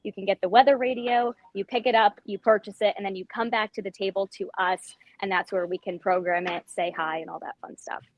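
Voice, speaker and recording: A woman gives an unscripted live report in a casual, chatty way. Her voice is mid-pitched for a woman. The sound is dry and close, with no room echo. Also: steady tone, brisk, few pauses. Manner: informal walk-and-talk delivery.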